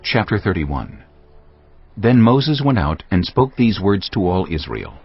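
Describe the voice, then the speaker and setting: A man's voice: low voice, sing-song, a little slow, breathy edge. A man narrating scripture as a scripted dramatized audiobook reading. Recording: muffled audio with music underneath.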